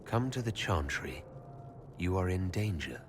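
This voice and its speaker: A man playing a composed, inquisitive elven leader in a fantasy game, measured and questioning. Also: sits low, sing-song, background noise. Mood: grave. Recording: music behind the voice.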